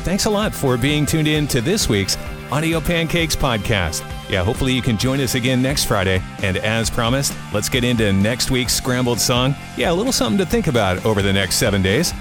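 A man's voice: medium pitch, big pitch swings, moderate pace. A man hosting a radio-style podcast, casual and chatty as he runs through segments. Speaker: podcast host. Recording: music bed behind voice.

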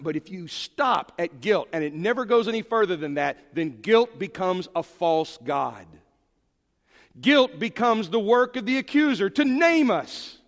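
High-voiced male pastor, lively emphatic preaching, long church echo. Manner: animated, emphatic preaching with sudden drops to quiet. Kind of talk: sermon. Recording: long hall reverb.

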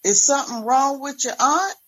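A woman's voice: high-pitched, lively intonation, moderate pace, pushed and bright, no echo, voice pushed up. A woman voicing a puzzled, forthright female church worker in a story.

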